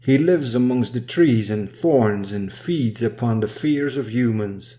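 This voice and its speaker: Slow-paced man reciting poetry. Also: mid-range voice, warm tone. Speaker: poem reader. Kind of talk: solemn scripted poetry recitation.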